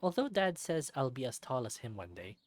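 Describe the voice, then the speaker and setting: Expressive visual novel narrator, very varied pitch. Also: a little fast. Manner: expressive storybook reading with light asides. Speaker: let's play narrator. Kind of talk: reading a visual novel aloud.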